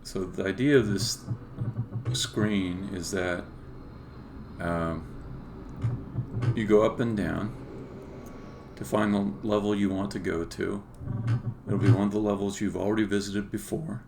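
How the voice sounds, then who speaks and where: Guy live coding on stream, low gravelly voice, kinda mumbling thru his thoughts, bit of noise n key clicks behind. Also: steady tone, unhurried, long pauses. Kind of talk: casual live-coding commentary, thinking aloud. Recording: faint background noise with keyboard clicks. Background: computer keyboard typing and clicking.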